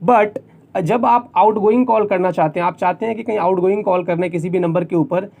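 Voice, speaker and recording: A man's voice: sits high, a little fast, creaky, mellow. A man with an Indian accent presenting a tech tutorial, explaining things step by step and often repeating points for clarity. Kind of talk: explanatory tutorial, semi-scripted.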